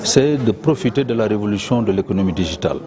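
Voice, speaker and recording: A man speaking as a conference panelist, deliberate and repetitive, with his pitch leaping when he stresses points. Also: expressive. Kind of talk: interview statement. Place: conference venue. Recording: crowd murmur behind.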